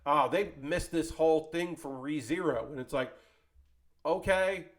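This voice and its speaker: Male host, slightly high voice, off-the-cuff commentary, conversational and meandering, self-correcting. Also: a little slow. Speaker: host of an anime club video show. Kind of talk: casual unscripted commentary. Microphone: close microphone.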